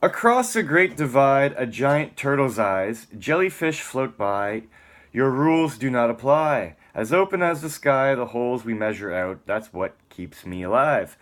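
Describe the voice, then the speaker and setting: A man's voice: mid-range voice, sing-song, measured pace. A man giving a dramatic, theatrical spoken-word reading, moving between emphatic lines and muttered casual asides.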